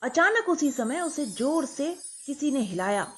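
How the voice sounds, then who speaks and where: A woman narrating a scripted bedtime story for children, addressing kids directly in a clear, expressive way. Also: sits high, unhurried.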